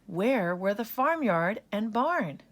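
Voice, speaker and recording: A woman narrating a children's story in an expressive read-aloud style. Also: medium pitch, takes it slowly.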